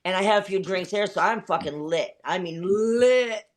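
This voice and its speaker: A woman's voice: mid-range voice, normal speed. Candid swearing woman telling stories. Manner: candid storytelling with frequent swearing; rambling, with many fillers. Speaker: host. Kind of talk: casual chat.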